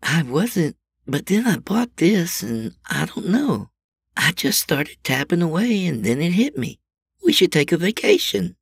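A man voicing a cheerful, excited middle-aged wife in a storybook reading, with a raspy, gravelly voice. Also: slow, no echo, clean studio sound, heavily acted. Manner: bright, excited, swooping up high.

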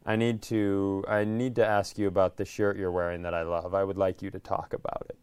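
Podcast co-host: American male, smooth clear mid-range voice, casual comic banter, dry studio-close sound. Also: deep voice, level tone, a little fast, a little mellow, dry recording.

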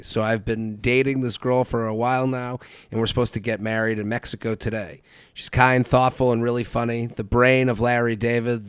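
A man's voice: medium pitch, clear, resonant tone, dry recording. A man co-hosting a podcast, reading from a script with casual asides. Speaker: podcast co-host.

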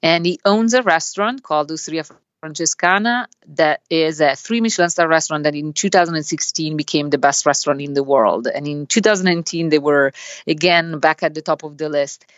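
Italian-accented woman academic, low-pitched, explains in measured answers, sound a bit dull. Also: no echo. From Italy. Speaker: business school professor, guest. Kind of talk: podcast interview answers.

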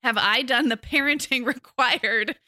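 A woman's voice: sits high, a little slow, bright, forceful tone, no room sound. A woman hosting a casual conversation, reflective and friendly as she thinks aloud.

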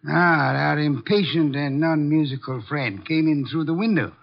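An actor playing an imperious, irritable older detective in a 1950s radio drama, with pompous, precise and disdainful diction. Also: male voice, a little high, normal speed, gravelly. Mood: lofty, brisk. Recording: dull lo-fi vintage radio recording.

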